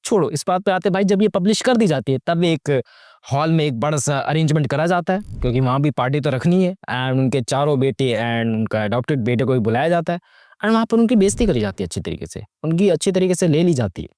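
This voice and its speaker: A man's voice: on the high side, animated, a little fast, darkish tone, no echo, studio quality. A young man giving casual, sarcastic movie commentary, slangy and mocking, full of rhetorical questions and mixing English into his Hindi. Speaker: YouTube movie reviewer.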